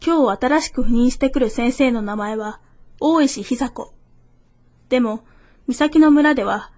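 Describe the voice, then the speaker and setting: A woman's voice: high-pitched, unhurried, a little mellow. A woman reader giving a scripted reading of literary prose.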